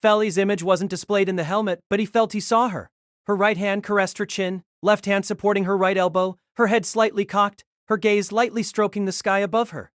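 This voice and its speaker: A woman's voice: mid-range voice, steady tone, brisk, no room sound, studio quality. A woman narrating a character's inner thoughts in an audio drama, thoughtful and wondering.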